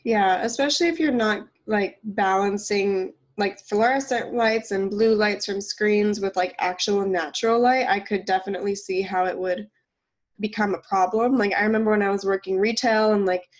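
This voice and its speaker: A woman co-hosts a casual nutrition podcast while stuffed up from a cold, her voice congested. She chats loosely with plenty of fillers and quick laughs, sitting at a middling pitch. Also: fairly even intonation. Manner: chatty, laughs often, many fillers. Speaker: podcast co-host.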